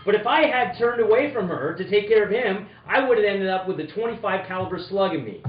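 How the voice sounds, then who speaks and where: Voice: medium pitch, lively intonation, brisk, natural voice. A performer playing a tough, wisecracking male private investigator in an old-time detective radio drama, punchy and accusing. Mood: wry narrating. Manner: accusing, punchy, first-person narration and interrogation. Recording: echoey room, dull noisy sound.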